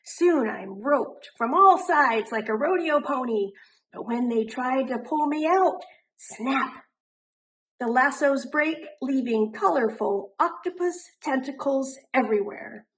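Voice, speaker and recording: A woman reading a children's picture book aloud in an animated way, drawing out words for emphasis. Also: high-pitched, rough recording. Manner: animated, deliberate, drawn-out emphasis on rhyming words. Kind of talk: expressive children's storybook narration.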